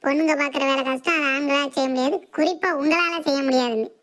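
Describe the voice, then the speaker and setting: Woman doing a bossy cartoon housewife, really high voice, sarcastic and challenging. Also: steady tone, fast, fairly bright tone. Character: assertive, sharp-tongued Tamil housewife and mother. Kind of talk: comic cartoon dialogue.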